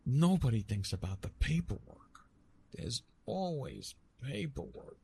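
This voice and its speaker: A weary immortal love god, played by a man, grumbles theatrically and wryly in an ASMR roleplay. He takes his time, with halting, dramatic phrasing, over faint background noise. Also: mid-range voice, sing-song, creaky, warm tone. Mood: bemused grumbling. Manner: dramatic, wry, halting with repeated words.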